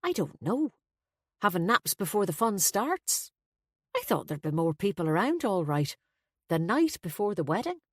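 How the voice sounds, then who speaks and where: A woman's voice: medium pitch, very animated, moderate pace, no echo, clean studio sound. A woman voicing a wry, playful, sceptical woman friend in an audiobook story.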